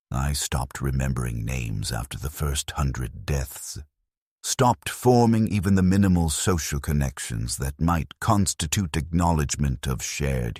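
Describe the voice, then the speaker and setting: Very deep, grim man narrating as a war-hardened convict soldier. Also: a little mellow, husky, no echo, studio quality, full character voice. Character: grim, hardened male penal legion soldier recounting horrors. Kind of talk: scripted first-person dark sci-fi story narration.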